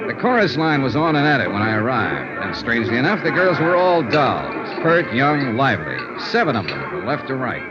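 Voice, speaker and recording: An actor playing a wry, unflappable insurance investigator in a 1950s American radio drama, clipped and dry in delivery. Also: male voice, medium pitch, sing-song, moderate pace, hardly any pauses, over loud music, natural voice. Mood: wry narrating. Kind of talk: 1950s American radio detective acting with first-person narration. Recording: dull vintage radio drama recording.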